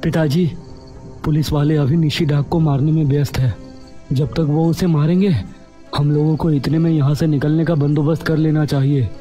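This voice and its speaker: A man voicing an anxious, scheming young village chief's son in a cartoon story, urgent and hurried. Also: a little high, fairly even intonation, warm tone, faint music behind, voice pushed up, natural voice. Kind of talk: Hindi animated story dialogue.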